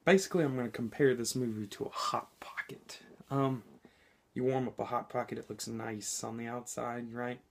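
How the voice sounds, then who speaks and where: Hoarse, sick man giving a casual review. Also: medium pitch, fairly even intonation, moderate pace. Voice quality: slightly scratchy. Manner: chatty and informal, frequent ums and self-corrections. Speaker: movie reviewer. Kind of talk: casual spoken movie review.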